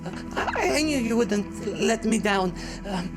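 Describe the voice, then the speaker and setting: Man playing a nervous squeaky scholar, stammering, raised pitch, studio game. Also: expressive, music in the background. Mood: nervous, grateful. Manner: halting, full of ums and uhs. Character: timid male researcher with a squeaky voice.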